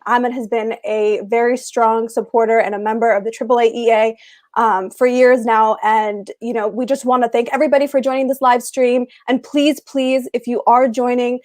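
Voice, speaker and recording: A woman wraps up a live stream with thanks and a call to donate. She talks in an earnest, slow, level tone with a fairly high voice. The sound is dry and close. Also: flat delivery, a little mellow, dry recording. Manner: earnest, thankful, speaks slowly. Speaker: event organizer closing the stream. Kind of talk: closing thanks and donation appeal.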